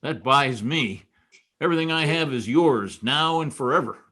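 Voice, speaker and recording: An actor playing a wisecracking, world-weary private detective in a noir radio drama, wry and sardonic. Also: male voice, medium pitch, lively intonation, moderate pace, bright, forceful tone. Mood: urgent, wry. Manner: wry, sardonic, clipped tough talk. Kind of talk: old-time radio noir acting and first-person narration.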